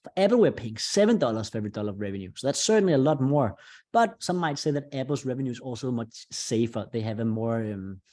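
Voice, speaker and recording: A man's voice: medium pitch, lively intonation, fast, dark tone. A man presenting an investor webinar, explaining step by step in a conversational way.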